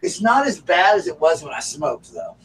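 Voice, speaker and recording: A raspy-voiced man with a Southern US accent chatting casually as the host of a live stream, now and then breaking off to call his dogs. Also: a little high, very animated, moderate pace. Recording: far from the mic with faint background noise.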